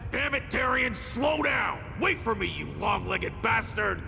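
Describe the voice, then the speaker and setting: Very gravelly, brash fantasy sidekick. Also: male voice, extremely high pitch, lively intonation, a little slow, in a large hall, a little hiss or hum, full character voice. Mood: exasperated. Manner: loud, blunt, complaining. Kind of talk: fantasy video game acting.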